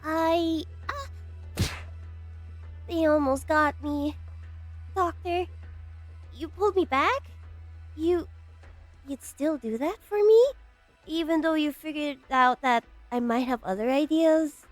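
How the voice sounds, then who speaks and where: A woman voicing a playful, excitable scientist director in an amateur fan dub, talking in an animated, teasing way. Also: high-pitched, takes it slowly, long pauses, faint music behind. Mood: flustered.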